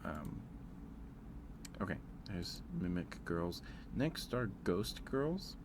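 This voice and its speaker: A man's voice: low-pitched, very animated, very slow, creaky, noisy background. A man streaming casual ASMR chat, thinking aloud in a hesitant, informal way.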